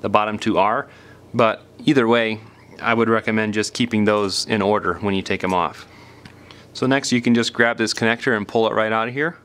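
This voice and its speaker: A man's voice: lowish voice, steady tone, a little fast, a bit bright, clear, resonant tone. A man hosting a do-it-yourself tutorial, explaining each step in a plain, informal way as he demonstrates. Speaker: repair tutorial host. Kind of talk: casual step-by-step hands-on demonstration.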